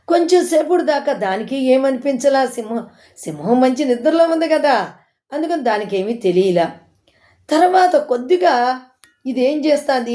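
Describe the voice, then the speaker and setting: A woman's voice: a little high, warm tone. A woman telling a moral story informally, in an explanatory, conversational way that repeats points for listeners.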